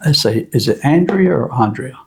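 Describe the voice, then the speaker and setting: A man's voice: medium pitch, lively intonation, moderate pace, breathy edge. Plainspoken man thanking viewers. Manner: plainspoken, thanks each sender, apologetic about names. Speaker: channel co-host. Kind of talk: casual chat reading viewer mail.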